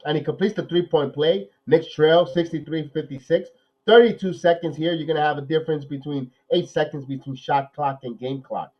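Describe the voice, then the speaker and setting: Nasal man calling a game, lo-fi. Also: on the high side. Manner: excited rapid play calling, then talky analysis with repeats. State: nasal from a cold. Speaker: podcast host. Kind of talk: live watch-along play-by-play and commentary.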